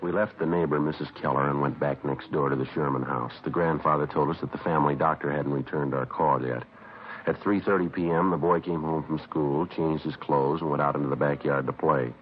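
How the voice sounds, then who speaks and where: A clipped, matter-of-fact police detective with an extremely deep voice. He talks quickly, narrating and questioning in a 1950s radio crime drama. The old recording is dull with a faint hiss. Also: steady tone.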